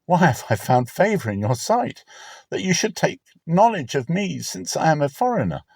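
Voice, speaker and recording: A humble young widow, a deferential foreigner, voiced by a man, speaks earnestly in a scripture drama. The delivery is lively in pitch and recorded very dry. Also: moderate pace, vocal fry, breathy edge, no echo, exaggerated voice.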